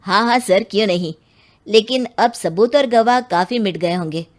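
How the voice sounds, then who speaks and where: A woman's voice: medium pitch, normal speed, lightly acted. A woman voicing a dutiful male police inspector in a cartoon, asking earnest, polite questions. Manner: earnest, polite questioning, addressing others as sir. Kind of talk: Hindi cartoon voice acting.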